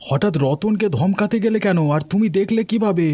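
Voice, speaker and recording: A man's voice: high voice, brisk, darkish tone, dry recording. A man playing a sympathetic village man in a cartoon story, talking in a resigned, conversational way. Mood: curious. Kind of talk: Bengali cartoon story acting.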